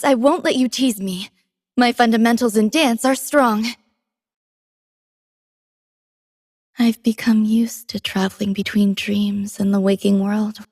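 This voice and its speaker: A woman voicing a gentle, devoted female android musician in a video game, soft-spoken, tender and poetic in a measured delivery. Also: fairly high pitch, slightly rough, dry recording, studio quality. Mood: affectionate, wistful. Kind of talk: scripted game character voice lines.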